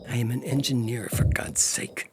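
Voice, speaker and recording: A man playing a strained, worn-down engineer husband with a gravelly, husky voice in a dramatized story reading. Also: medium pitch, animated, normal speed, in a whisper, music in the background, natural voice. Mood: bitter muttering.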